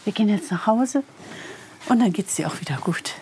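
A woman's voice: medium pitch, expressive, normal speed, a little mellow, a little hiss or hum, close to an everyday voice. An actress playing a kindly older boat-rental owner and mother, caring and cheerful, in a reenacted drama scene. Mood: soothing. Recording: clear music behind dramatized scenes.

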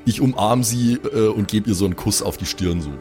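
Ein Mann erzählt locker und improvisiert in Ich-Form, was seine Rollenspielfigur tut. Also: male voice, lowish voice, brisk, darkish tone, soft background music. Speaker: role-play podcast player. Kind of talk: casual improvised first-person narration.

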